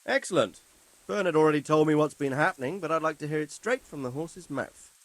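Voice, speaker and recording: A man playing an authoritative, grave nobleman in a video game, speaking formally and articulately with a measured, commanding manner. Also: fairly high pitch, fairly bright tone, no echo, lightly acted. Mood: inquiring, composed. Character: authoritative nobleman lord, measured, grave, stern about justice. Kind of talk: video game cutscene acting.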